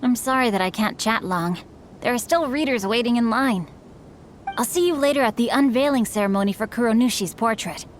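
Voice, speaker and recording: High-voiced refined young lady writer woman acting. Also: fairly quick, slight background noise, close to an everyday voice. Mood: gracious. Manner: formal, courteous. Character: polite, refined young female novelist, discreet and gracious. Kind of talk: video game voice acting.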